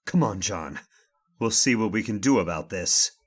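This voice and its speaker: A man's voice: on the low side, lively intonation, moderate pace, husky. Determined, inquisitive investigator, male actor: authoritative, formal, very varied intonation, radio thriller. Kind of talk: old-time radio drama acting.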